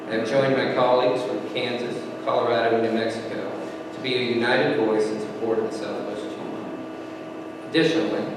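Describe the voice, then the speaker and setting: A man reading a formal written statement aloud as a political representative at a public meeting. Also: mid-range voice. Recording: very echoey hall, distant mic, noisy.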